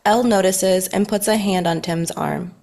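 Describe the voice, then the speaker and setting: A woman's voice: medium pitch, steady tone, normal speed, a little mellow. A woman reading scripted narration for a children's story at a table read.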